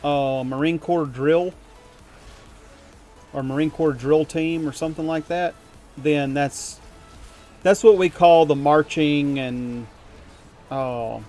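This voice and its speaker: A man's voice: on the high side, steady tone, takes it slowly, pauses often, a little mellow, soft background music. A man from the United States chatting with his viewers while gaming, rambling and chuckling and poking fun at himself. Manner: rambling, chuckling, self-deprecating. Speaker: streamer.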